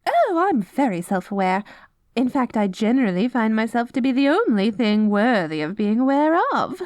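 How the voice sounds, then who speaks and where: A woman's voice: fairly high pitch, sing-song, normal speed, a little mellow, no room sound, clean studio sound. A woman voicing a vain, haughty, self-righteous queen in an audio roleplay, pompous, prim and melodramatic. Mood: smug. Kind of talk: scripted ASMR audio roleplay.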